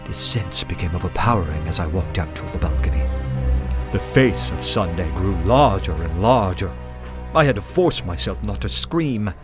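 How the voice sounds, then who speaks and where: Detective telling his story, radio drama, deepish voice, literate and suspenseful, music behind. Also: expressive, moderate pace. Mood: mounting dread. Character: undercover male detective narrating his tense adventure. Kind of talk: dramatic first-person narration over music.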